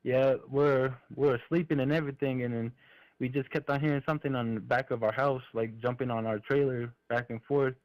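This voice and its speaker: A man's voice: medium pitch, fairly even intonation, brisk, no room sound. A man from New Mexico calling in by phone, telling personal stories casually in slangy, hesitant speech. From New Mexico, USA. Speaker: phone-in caller. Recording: dull, lo-fi phone call audio.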